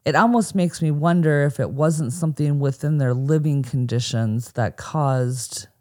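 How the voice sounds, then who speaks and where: A woman's voice: sits low, measured pace, darkish tone. An American woman co-hosting a podcast, telling a true crime story from a script with casual asides. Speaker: podcast co-host.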